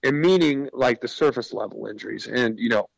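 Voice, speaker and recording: A man's voice: medium pitch, a little fast, vocal fry, no room sound, poor audio. Man explaining his product, dry close sound. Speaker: business owner, interview guest. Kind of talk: conversational radio interview pitch.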